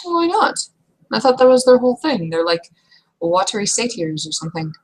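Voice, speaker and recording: A woman's voice: on the high side, sing-song, normal speed, pitched above the performer's own voice. A woman playing a blunt, curious, gossipy fantasy woman in a tabletop game, talking in a breezy, teasing way. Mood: curious, unconvinced.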